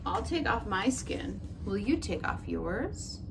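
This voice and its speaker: Expressive woman teacher reading aloud. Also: mid-range voice, slow, vocal fry. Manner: gentle, expressive storytelling with questions put to the listener. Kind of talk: reading a picture book aloud to children, then discussing it. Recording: noticeable background noise.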